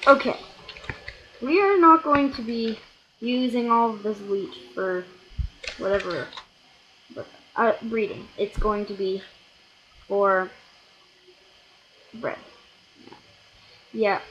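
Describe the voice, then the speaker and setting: A let's play gamer giving rambling, self-correcting commentary on a block-building game, with sudden excited outbursts, recorded at a home computer. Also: medium pitch, slow, pauses often, muffled recording, slight background noise. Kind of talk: casual gameplay commentary.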